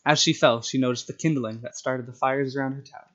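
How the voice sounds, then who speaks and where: A man with a medium-pitched voice hosts a casual story-time livestream. His microphone sounds a little dull and lo-fi, and his delivery is that of someone sharing his own writing with viewers. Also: steady tone, fast talker, a bit bright. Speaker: streamer reading own short stories.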